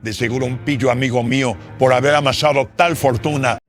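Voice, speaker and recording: A man's voice: medium pitch, steady tone, a little fast, over loud music, voice pushed up, lightly acted. A man playing a greedy, crooked colonial notary in a radio horror drama, gloating and calculating in a theatrical way. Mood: scheming.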